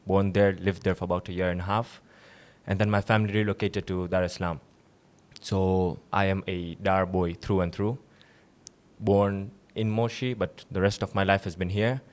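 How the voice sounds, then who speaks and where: A young man from Tanzania, a seminary student, telling his story as a guest in a casual interview with easy laughs. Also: low-pitched. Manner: relaxed storytelling with light laughs.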